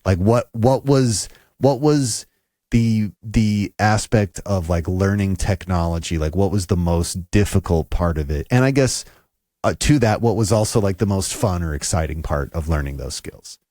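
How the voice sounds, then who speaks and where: Podcast host guy, really low voice, swears a lot, rambly questions. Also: normal speed, dark tone, no room sound, studio quality. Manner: casual, swears freely, asks long rambling questions. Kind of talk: casual podcast conversation.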